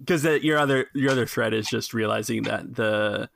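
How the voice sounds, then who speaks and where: A man's voice: mid-range voice, moderate pace, gravelly, fairly bright tone, no echo, clean studio sound. A man hosting and running a tabletop role-playing game, narrating scenes and rules in a casual podcast style. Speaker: game master and show host.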